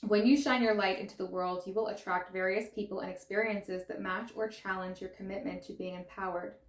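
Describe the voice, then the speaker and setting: Excitable woman with a fading voice. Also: mid-range voice, a little fast, roomy sound. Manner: excitable and gushing, exclaiming and laughing. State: losing her voice. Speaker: tarot reader. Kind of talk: pick-a-pile tarot reading.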